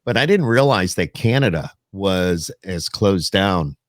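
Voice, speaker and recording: A man's voice: low-pitched, lively intonation, measured pace, dry recording, studio quality. A man chatting casually as the host of a podcast interview.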